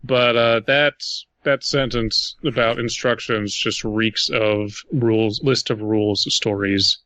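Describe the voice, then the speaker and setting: A man's voice: fairly low pitch, steady tone, takes it slowly, sharp tone, dry recording. A man co-hosting a podcast, giving his opinion of a story in casual, conversational critique. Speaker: podcast co-host.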